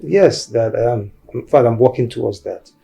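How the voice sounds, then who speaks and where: A grown son, played by a male actor in a film drama. His voice is dark and creaky, and he is reassuring and insistent. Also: mid-range voice, moderate pace, soft background music, lightly acted.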